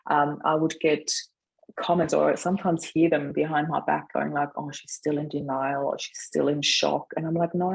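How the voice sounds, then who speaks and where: Middle-aged woman, husky and creaky, telling her story. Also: low-pitched, darkish tone, poor audio. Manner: reflective, emphatic, acts out remembered remarks. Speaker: podcast guest, grief coach. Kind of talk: personal storytelling in an interview.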